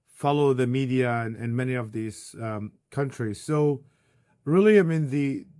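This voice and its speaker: A man in his thirties, originally from Iraq, talking as a podcast guest over a video call. His voice sits at an ordinary middle pitch and sounds dry and close. He speaks earnestly and thoughtfully, often pausing with fillers and restarting his sentences. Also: dark tone, no room sound. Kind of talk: conversational interview answers. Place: remote call.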